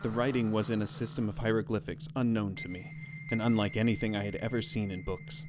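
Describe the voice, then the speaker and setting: A man's voice: on the low side, steady tone, fast, noisy background, natural voice. A man playing a haunted explorer recounting a strange ordeal in a solemn, literary audio drama, with an ambient score behind. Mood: grave wonder. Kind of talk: dramatic audio horror story narration. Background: ambient musical score.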